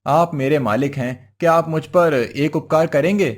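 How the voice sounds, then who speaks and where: Crafty cartoon villager husband, male actor, higher-pitched, coaxing, theatrical and exclamatory. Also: moderate pace, close to an everyday voice. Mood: flattering. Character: crafty cheerful village husband, wheedling trickster. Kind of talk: Hindi cartoon moral story voice acting.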